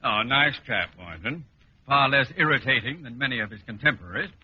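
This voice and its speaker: An actor playing a blustery, hungry middle-aged grouch in an old radio sitcom, with pompous, grumbling delivery. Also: male voice, mid-range voice, lively intonation, a little fast, slightly rough. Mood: self-pitying. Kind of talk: 1940s-50s radio sitcom acting. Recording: muffled old radio recording, loud noise, clicks.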